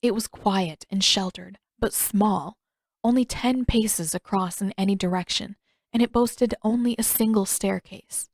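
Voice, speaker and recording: A woman's voice: medium pitch, measured pace, a little mellow, dry recording, clean studio sound. A woman reading scripted fiction aloud as an audiobook narrator.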